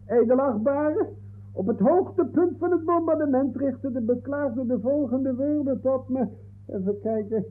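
An actor playing an aggrieved, pedantic football referee who protests indignantly in a 1960s comic radio play. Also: male voice, very high-pitched, a little slow. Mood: outraged. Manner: indignant, reads out notes, protesting. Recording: tinny, narrow-band 1960s radio recording.

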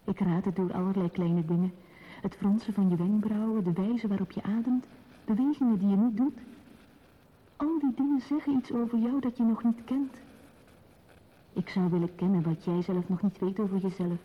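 A woman's voice: medium pitch, normal speed, lo-fi sound, close to an everyday voice. An actress playing a perceptive, tender woman in love, speaking intimately and thoughtfully in a lyrical radio play style. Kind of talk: poetic radio play dialogue.

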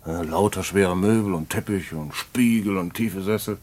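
An actor playing a boastful small-time crook in a radio play, telling a story in chatty, colloquial slang. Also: male voice, low voice, moderate pace, darkish tone. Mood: amused.

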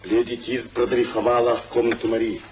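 An actor playing a good-natured, earnest family friend and peacemaker in a 1950s radio play, theatrical and soothing. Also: male voice, mid-range voice, flat delivery, fairly quick, a little mellow, lightly acted. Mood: soothing, pleading. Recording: noisy old 1950s radio recording.

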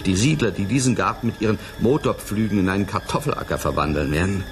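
An actor playing an earnest, careful scientist in a radio drama, speaking in a thoughtful, measured manner. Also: male voice, lowish voice, music in the background, natural voice. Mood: pensive. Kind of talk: 1980s German radio drama acting.

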